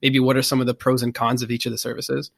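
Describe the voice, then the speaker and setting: A man's voice: mid-range voice, flat delivery, quick pace, vocal fry. A man hosting a casual interview, asking curious questions and thinking them through aloud. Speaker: interview host.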